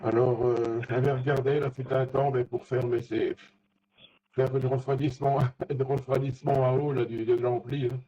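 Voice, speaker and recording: A man in a casual technical chat as an amateur radio operator, speaking haltingly and often searching for words. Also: mid-range voice, flat delivery. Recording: dull, lo-fi radio contact audio.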